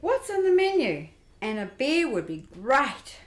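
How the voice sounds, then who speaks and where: An upbeat young woman traveller between planets, played by a woman in an amateur radio drama. Her voice sits high and swings lively through questions. The room echo is noticeable. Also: measured pace. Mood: curious. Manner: curious, upbeat. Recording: roomy echo.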